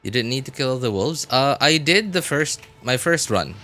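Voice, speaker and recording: A man's voice: mid-range voice, animated, moderate pace, bright, forceful tone. A man doing casual live gameplay commentary, thinking aloud in short informal remarks and chatting with his viewers. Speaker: game livestreamer. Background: game music.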